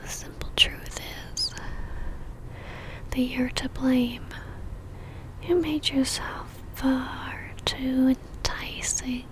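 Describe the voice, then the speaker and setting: A woman playing an obsessive, seductive female vampire in a whispered ASMR roleplay, speaking intimately with a teasing, soft menace. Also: high-pitched, fairly even intonation, drawn-out words, long pauses, low-quality recording. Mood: seductive, possessive.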